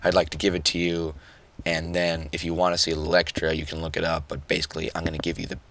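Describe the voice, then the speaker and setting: A young man hosting a solo podcast, nasal and a bit hoarse after yelling the day before, explaining things casually with fillers. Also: very deep voice, completely flat delivery, fast talker, a little hiss or hum. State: hoarse from yelling, just woke up. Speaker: podcast host.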